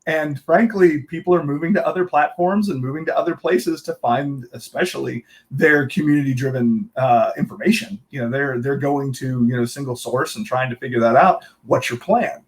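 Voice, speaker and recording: A man's voice: medium pitch, moderate pace, hardly any pauses. A man hosting a casual interview, enthusiastic and agreeable, laughing readily with his guest. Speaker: interview host.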